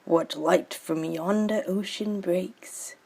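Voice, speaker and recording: A woman's voice: fairly low pitch, fairly even intonation, slow, creaky, mellow, husky, heavily acted. A woman voicing a vain, dashing young male bird in a storybook, speaking pompously and self-assured. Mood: gallant. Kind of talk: storybook character voice.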